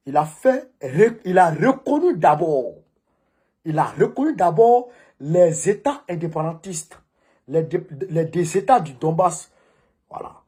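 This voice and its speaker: A man with a West African French accent delivering an informal political monologue as a commentator, emphatic and repeating phrases for stress, with a husky voice. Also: high voice, warm tone.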